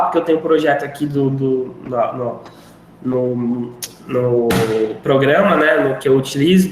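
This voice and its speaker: A male teacher wrapping up a live online class in a chatty, rambling way. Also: a little high. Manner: rambling, chatty, self-deprecating. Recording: very echoey room, faint noise.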